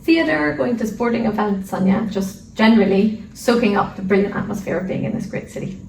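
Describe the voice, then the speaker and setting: Irish woman, middle-aged, guest lawyer; steady measured delivery, off-mic in a roomy space. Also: mid-range voice, vocal fry. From Ireland. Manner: measured, articulate, occasional repeated words. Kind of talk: interview answers. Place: residing in London. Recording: roomy sound, distant mic, faint noise.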